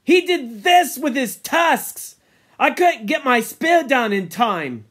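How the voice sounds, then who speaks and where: Boy chief man performing: fierce, accusatory, forceful high shout for a storybook hunting tale. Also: monotone, normal speed. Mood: commanding. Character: fierce, scolding boy leader of young hunters.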